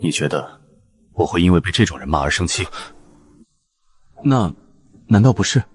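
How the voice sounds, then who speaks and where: A man plays a cool young man in a modern Chinese romance drama. His voice is fairly low with very lively pitch. He sounds composed, sardonic and sharp-tongued. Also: normal speed. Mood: calm. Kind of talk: Chinese audio drama voice acting.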